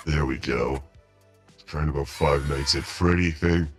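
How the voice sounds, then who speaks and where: Guy as a super deep dark horror game character, voice changer on, casual demo, music behind. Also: measured pace. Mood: demonstrating, casual. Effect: voice changer pitched down, with effects. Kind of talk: casual software demonstration.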